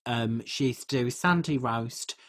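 A podcast co-host chatting casually and comically in a hesitant, rambling way, with a husky voice. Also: medium pitch, normal speed, a bit bright.

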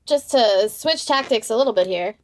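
A woman's voice: a little high, animated, normal speed. A woman hosting a podcast interview in a casual, conversational style.

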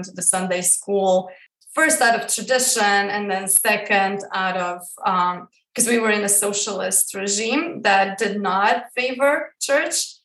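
A woman from Croatia, a guest in an interview, talking about her life in a searching way, with many pauses and restarts. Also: mid-range voice, fairly bright tone, echoey room, far from the mic, poor audio. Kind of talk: reflective personal interview.

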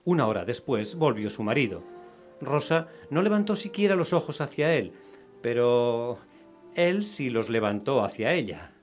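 A man's voice: medium pitch, big pitch swings, normal speed, soft background music. A man narrating a scripted story for radio theatre.

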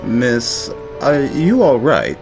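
A man's voice: medium pitch, huge pitch swings, extremely slow, exaggerated voice. A man playing a concerned, polite taxi driver in an audio drama. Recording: music under the voice.

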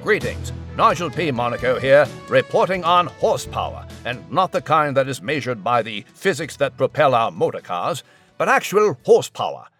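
A man's voice: mid-range voice, sing-song, normal speed, a bit bright, soft background music. A man playing a pompous, florid newsroom reporter delivering a chatty mock news report. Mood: jovial, pompous.

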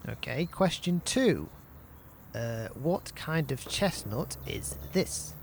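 A man playing a cheeky, bossy young schoolmate in an audio drama, teasing and quick to wriggle out of blame. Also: mid-range voice, big pitch swings, creaky, a little hiss or hum, exaggerated voice. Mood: cocky, teasing.

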